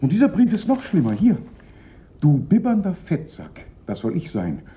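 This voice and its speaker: An actor in a German radio drama playing a pompous, self-pitying police prefect, theatrical, indignant and lamenting. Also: male voice, high-pitched, huge pitch swings, normal speed. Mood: wounded indignation. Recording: dull, lo-fi old radio recording.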